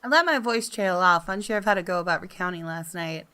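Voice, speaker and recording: A woman who hosts gaming videos, reading visual novel narration aloud in an expressive storytelling manner. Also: on the low side, fast talker, clear, resonant tone.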